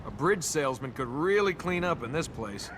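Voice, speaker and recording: An actor playing a cocky young adventurer in a video game, flippant and sarcastic. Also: male voice, on the high side, animated, moderate pace, natural voice. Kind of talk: video game character acting. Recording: noisy game capture with ambience.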